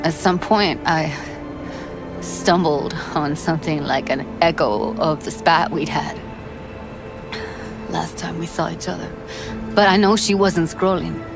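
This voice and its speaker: A young woman in a video game, grieving and guarded. Her voice sits on the low side and her phrasing is clipped, slangy and bitter. Music plays clearly behind her. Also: steady tone, a little slow, long pauses. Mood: somber, bitter. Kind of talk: naturalistic video game acting. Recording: game dialogue under clear background music.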